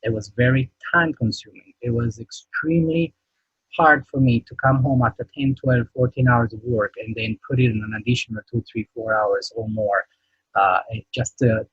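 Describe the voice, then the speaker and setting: Romanian-accented man, hesitant sales pitch. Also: slightly rough, no echo, highs cut off. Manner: hesitant, many fillers and restarts. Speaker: business presenter.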